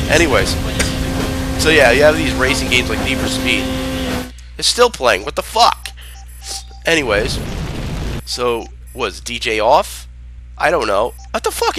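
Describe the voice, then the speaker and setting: Male gamer, mid-pitched, profane rambling rant over loud game music. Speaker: gamer ranting over gameplay. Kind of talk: casual profane gaming rant. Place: at home, playing a video game.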